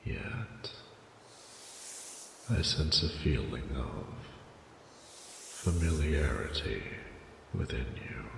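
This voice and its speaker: A man's voice: extremely low pitch, very animated, drawn-out words, pauses often, heavily acted. A man voicing an ancient, proud and flirty male dragon with pompous, archaic, formal speech in a romantic audio roleplay. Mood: intimate, intrigued. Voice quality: gravelly. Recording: roomy cavernous reverb with noticeable noise.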